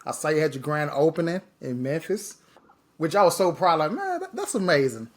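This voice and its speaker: Lively man hosting a podcast. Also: fairly high pitch, fairly quick. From Mississippi, USA. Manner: lively, encouraging, conversational. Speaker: podcast host. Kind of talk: podcast interview.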